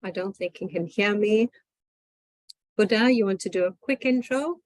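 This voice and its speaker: Brisk woman moderating a video call. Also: medium pitch, darkish tone, dry recording. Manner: brisk, friendly, calls on people. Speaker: meeting moderator. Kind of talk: moderating an online session.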